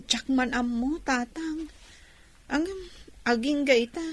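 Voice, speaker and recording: A woman's voice: high-pitched, takes it slowly. A woman playing a coy, plaintive young single woman who pines for her boyfriend, in an Ilocano-accented Filipino comedy radio drama. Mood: coy, protesting.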